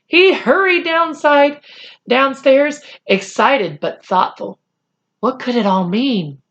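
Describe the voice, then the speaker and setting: A woman reading a children's chapter book aloud as a storyteller, with deliberate, expressive narration. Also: sits high, lo-fi sound. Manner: deliberate, animated.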